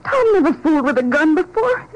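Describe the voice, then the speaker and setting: A woman's voice: sits high, sing-song, brisk, low-quality recording. A woman playing a distressed, pleading working-class wife with folksy, tearful speech in a 1950s radio drama. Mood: tearful, worried. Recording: old 1950s radio recording.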